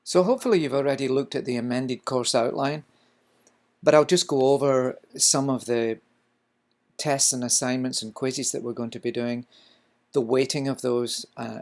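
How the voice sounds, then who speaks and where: A man's voice: medium pitch, vocal fry. A Scottish man, a culinary instructor, giving a recorded lecture, explaining at length with frequent fillers. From Scotland.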